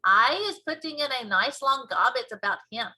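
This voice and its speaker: A woman's voice: on the high side, lively intonation, moderate pace, sharp tone, poor audio. A woman voicing a kindly, quirky male giant from a storybook, with folksy mangled grammar and an emphatic delivery. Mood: proud, emphatic. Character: big friendly giant, male, earnest, kindly, quirky speaker.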